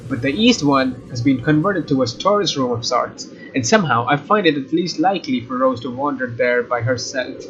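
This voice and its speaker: A man doing a let's play, reading visual novel narration aloud in a casual, expressive way with informal asides. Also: fairly high pitch. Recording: dull lo-fi mic, faint noise.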